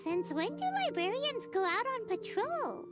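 A tiny fairy-like girl companion from a video game, chattering in a very high, squeaky voice that leaps all over. She is excitable, blunt and quick to tease. Game music plays clearly behind her. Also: exaggerated voice. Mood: chatty. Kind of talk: game voice acting.

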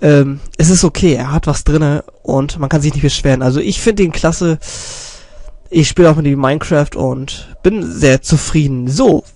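A man's voice: fairly high pitch, moderate pace, darkish tone, dry recording. A young man with a slight cold reviewing a channel from his computer, rambling and correcting himself, recorded on a desk stand microphone. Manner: rambling, self-correcting, many fillers. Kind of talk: casual screen-recorded commentary.

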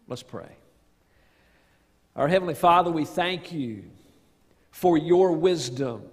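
A middle-aged man, a pastor, reading scripture and praying in public with solemn, deliberate phrasing. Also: a little high. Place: large church sanctuary, in front of congregation. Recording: echoey church hall, long reverb.

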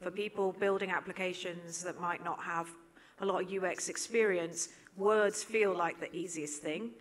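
A woman in the audience asking a question, hesitant at first with many fillers. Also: fairly low pitch.

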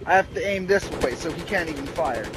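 A man's voice: on the high side, animated, a little fast. A man ranting while playing an online game, irate, sarcastic and foul-mouthed. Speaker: gamer, squad leader. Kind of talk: heated online gaming rant. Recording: very noisy game capture with gunfire and vehicles. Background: video game gunfire and vehicle noise.

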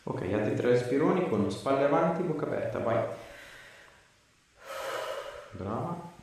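A man's voice: mid-range voice, lively intonation, a little slow, darkish tone. A man, an osteopath giving short, encouraging casual instructions to a patient during treatment. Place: small treatment room indoors. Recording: roomy, distant mic, faint room noise.

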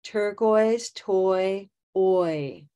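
Slow, careful woman pronunciation teacher. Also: medium pitch, warm tone. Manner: drawn-out, modeled words and encouraging prompts. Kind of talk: slow, clear pronunciation coaching. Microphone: webcam on a video call.

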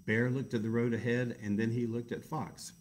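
A man's voice: mid-range voice, flat delivery, normal speed, slight background noise. A man reading a picture book aloud to children in a casual, chatty way, with self-deprecating asides. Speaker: library storytime reader.

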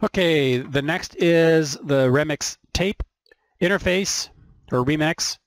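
A man giving an informal, unscripted hobbyist explanation, thinking aloud in a halting way as he goes. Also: on the high side, dry recording, studio quality. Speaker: electronics hobbyist presenter. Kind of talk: informal show-and-tell explanation of circuit boards.

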